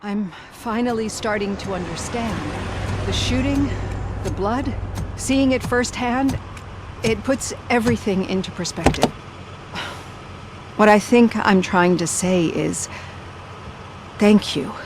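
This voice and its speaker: An actress playing a sobered, grateful woman in a video game, speaking earnestly in a reflective, halting way. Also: medium pitch, very noisy, close to an everyday voice.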